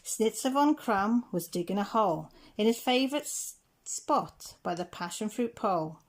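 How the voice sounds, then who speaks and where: A woman reading rhyming picture-book stories aloud in a measured, rhythmic way. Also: medium pitch, animated. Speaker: children's story reader.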